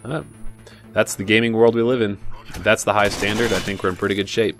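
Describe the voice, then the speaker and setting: A man giving relaxed, chatty commentary over a video game playthrough, with frequent asides and fillers. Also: fairly low pitch, fairly quick. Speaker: game guide narrator. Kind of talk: casual gameplay commentary. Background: game music and gunfire.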